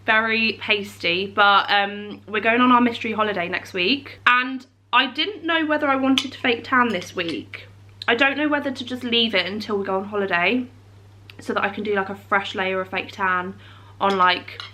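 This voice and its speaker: A woman's voice: mid-range voice, normal speed, sharp tone, slight background noise, poor audio. A young woman chatting casually to camera at home for her vlog, sounding congested and sniffly from a cold. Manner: chatty, rambling. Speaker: lifestyle vlogger.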